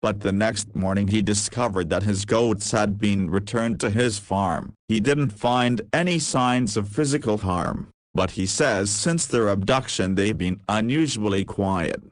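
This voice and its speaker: A man playing a composed radio news and weather announcer reading a scripted bulletin in a measured, matter-of-fact way. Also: lowish voice, no room sound, close to an everyday voice.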